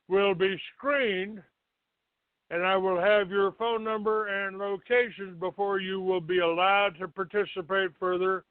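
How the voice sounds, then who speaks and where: Slow, halting man on a phone-like line. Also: high voice, smooth. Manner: halting, grumbling, blunt with swearing. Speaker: talk show host. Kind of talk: rambling monologue, reading out a written notice. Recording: thin phone-like band, roomy echo.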